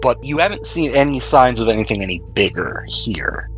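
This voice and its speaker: Man, game master, mid-range pitch, casual rules explanation, tabletop role-playing, music in background. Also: sing-song, normal speed, a bit bright.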